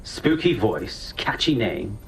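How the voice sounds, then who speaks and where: A man's voice: on the low side, very animated, slow, exaggerated voice. A man playing a mocking, theatrical tormentor in a game, drawing out his teasing words, echoing and distant. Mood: smug teasing. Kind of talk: video game dialogue. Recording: echoey, distant, faint noise.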